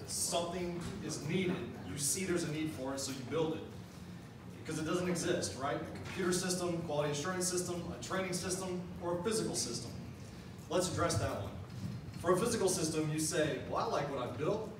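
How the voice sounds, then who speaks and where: A man working as an engineer, giving an explanatory pitch with rhetorical questions to a meeting. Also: a little fast. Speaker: human factors engineer. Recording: echoey room, mic far off, noisy.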